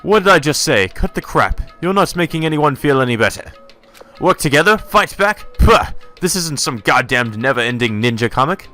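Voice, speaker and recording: A man's voice: a little high, expressive, normal speed, sharp tone, soft background music, voice pushed up. A man voicing a blunt, short-tempered student in a visual novel, biting and dismissive. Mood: irritated scorn.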